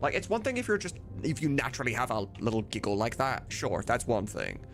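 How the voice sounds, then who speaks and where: Male streamer, lowish creaky voice, lively intonation, casual muttered work chat, informal, music behind. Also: brisk. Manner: informal, sweary, muttering to himself while working. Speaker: streamer and game modder. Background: music, computer keyboard typing.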